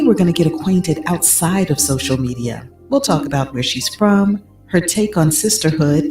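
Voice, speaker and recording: A woman's voice: low voice, a little mellow. A woman hosting a podcast, introducing her guest and asking questions in a gushing, friendly way. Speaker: podcast host. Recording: echoey with music behind.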